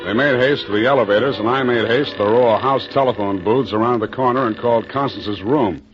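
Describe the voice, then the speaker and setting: An actor playing a wry, deadpan private eye narrating a 1940s radio noir drama. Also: male voice, mid-range voice, brisk, close to an everyday voice. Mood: wry, terse. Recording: muffled 1940s radio, music behind.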